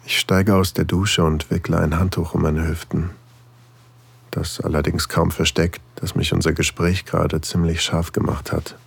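A man's voice: deep voice, flat delivery, moderate pace, gravelly, husky, exaggerated voice. A man acting a flirtatious single father in an intimate erotic audio drama, teasing and a little hesitant, narrating his own thoughts. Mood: aroused, musing.

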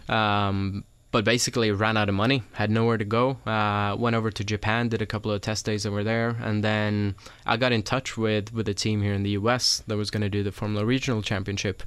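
Low smooth-voiced young man from Sweden. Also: a little fast, hardly any pauses, no room sound. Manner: relaxed storytelling with frequent ums and laughs. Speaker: racing driver guest. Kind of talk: casual interview chat. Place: studio.

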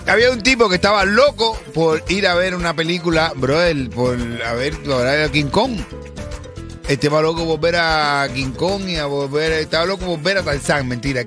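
A male radio co-host with a fairly high-pitched voice. He jokes and teases in loose slang, repeating phrases, with music clearly playing behind him. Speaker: radio show co-host and joke teller. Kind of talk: casual comic banter and joke telling. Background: background music.

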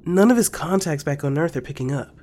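An actor playing an upbeat, slangy, easygoing young rebel in an audio drama, speaking casually. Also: male voice, on the high side, animated, fairly quick, a little mellow. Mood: matter-of-fact. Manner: casual, informal. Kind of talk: scripted audio drama acting.

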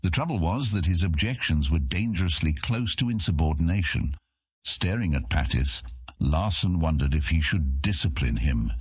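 A man's voice: rumbling low, lively intonation, moderate pace, slightly rough, dry recording. A man reading scripted dramatic narration for a fantasy audiobook.

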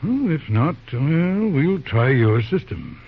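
An actor playing a calm, resourceful older uncle in an old-time radio drama, genteel and commanding. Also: male voice, on the high side, slow pace, vocal fry, slightly rough, exaggerated voice. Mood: composed, wry. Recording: tinny, hissy old radio recording.

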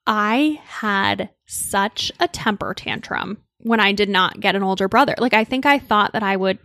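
A woman's voice: mid-range voice, normal speed, pushed and bright, dry recording. A young woman from Connecticut co-hosting a podcast, chatting casually and rambling with lots of "like.". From Connecticut, United States. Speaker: podcast co-host. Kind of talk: casual chat.

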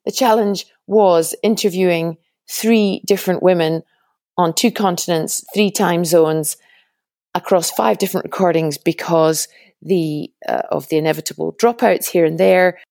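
A woman's voice: fairly low pitch, a little mellow, no room sound, clean studio sound. A woman hosting a podcast, chatty and upbeat, introducing an episode and then interviewing guests. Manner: upbeat, chatty, laughs often. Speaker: podcast host.

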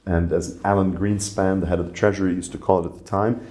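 A middle-aged man with a very low voice talks as an interview guest. He grew up in Saudi Arabia and rambles, filling his answers with fillers and restarts. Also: flat delivery, fast talker, mellow. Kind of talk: conversational interview.

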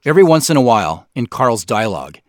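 A man's voice: medium pitch, very animated, fast, darkish tone, dry recording. A man hosting a scripted director's commentary on an audio drama as its creator.

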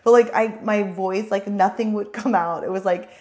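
A woman in her thirties co-hosting a podcast while hoarse from losing her voice, chatting casually and laughing often. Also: medium pitch, steady tone, normal speed, a little mellow. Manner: laughs often, rambling and self-correcting. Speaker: podcast co-host. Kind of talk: casual chat.

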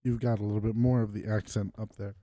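Man, mellow tone, dry close mic, casual podcast chat. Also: on the low side, flat delivery, quick pace, no room sound. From Wisconsin. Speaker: podcast co-host. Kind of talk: casual chat among friends.

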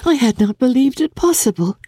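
A woman playing young Regency lady, gentle, effusive, high-lifted animated pitch, soft mellow tone, storybook reading. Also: a little fast, slightly rough, no echo, clean studio sound. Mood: earnest, wounded. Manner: earnest, effusive.

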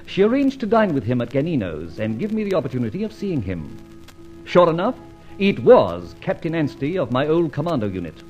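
An actor playing a gentleman adventurer who narrates his exploit in a formal, dramatic radio serial style. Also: fairly high pitch, very animated, a little fast, soft background music. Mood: dramatic recounting. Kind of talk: scripted first-person radio serial narration.

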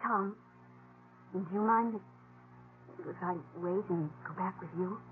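A woman's voice: mid-range voice, slow pace, close to an everyday voice. A woman playing an anxious, devoted young frontier woman in a 1950s radio drama, pleading emotionally. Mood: tender. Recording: noisy, tinny old radio recording.

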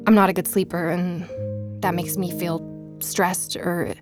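An actress playing a guilt-ridden young woman podcaster, narrating an audio drama as an intimate, halting, reflective confession. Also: fairly low pitch, flat delivery, close to an everyday voice. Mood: weary, troubled. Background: music.

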